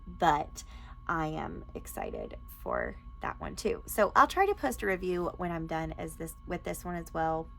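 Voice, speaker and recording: Woman, lowish creaky voice, informal and enthusiastic vlog delivery, faint background noise. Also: a bit bright. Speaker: book reviewer and podcast host. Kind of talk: casual chatty book recommendation vlog.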